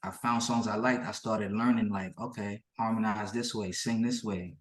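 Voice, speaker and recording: A man's voice: fairly low pitch, steady tone, moderate pace, echoey room. A man whose native language is Yoruba, a musician giving a casual interview in informal, slangy speech, often repeating himself.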